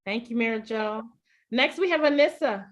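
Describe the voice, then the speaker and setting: Brisk woman moderator with a high voice. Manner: brisk, polite introductions. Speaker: panel moderator. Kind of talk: moderating a panel. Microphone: video call.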